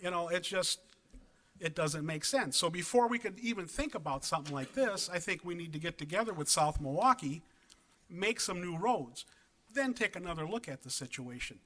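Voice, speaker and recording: Resident guy at a city meeting, bright kinda high voice, lots of ums and restarts. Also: expressive. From Wisconsin. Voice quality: big voice. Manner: earnest, halting, with many ums and restarts. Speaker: resident speaking at public comment. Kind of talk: public meeting comment. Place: council chamber.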